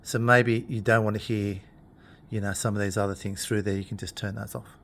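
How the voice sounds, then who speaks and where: A man talking through equipment in an informal tutorial, explaining things in a plain-spoken, unhurried way. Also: lowish voice, flat delivery, fairly bright tone. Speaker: instructor demonstrating PA equipment.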